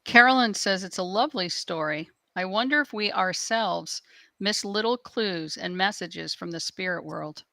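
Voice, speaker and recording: A woman's voice: mid-range voice, moderate pace, creaky, bright, forceful tone. A woman reading viewer comments aloud as an assistant.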